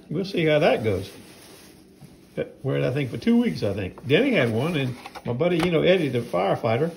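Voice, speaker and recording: A man's voice: medium pitch, creaky, a little hiss or hum, poor audio. An older man narrating a casual home vlog, rambling and folksy, filming with a phone around his house and yard. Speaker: knife sharpener vlogger.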